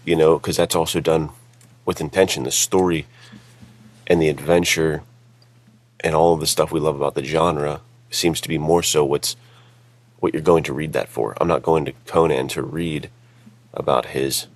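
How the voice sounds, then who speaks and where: Very deep, gravelly man co-hosting a podcast. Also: dry recording. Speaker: podcast co-host. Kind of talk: casual chat. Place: outdoors while on vacation. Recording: music behind, rough sound quality.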